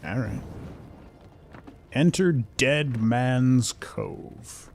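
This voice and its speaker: Male game streamer, casual and playful, unhurried delivery with gravelly fry and faint music behind. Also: mid-range voice, expressive, a little mellow. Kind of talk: casual live game commentary, chatting to viewers.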